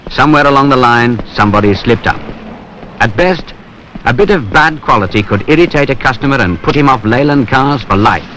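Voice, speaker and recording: A low-pitched British male narrator with a plain-spoken, matter-of-fact way of telling things in everyday language. He reads a scripted training film. The soundtrack is an old 1970s one with slight noise. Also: fairly bright tone, rough recording.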